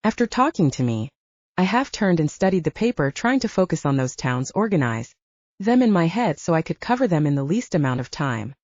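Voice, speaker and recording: A woman's voice: lowish voice, very animated, fairly quick, a little mellow, dry recording, highs cut off. A woman reading a scripted first-person story as an audiobook narrator.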